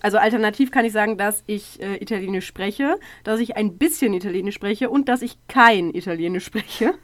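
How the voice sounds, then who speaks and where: A woman's voice: medium pitch, fairly quick, no room sound. Woman co-host, light and jokey, dry close sound. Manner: playful, jokey, laughs easily. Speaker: podcast co-host. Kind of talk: casual podcast chat.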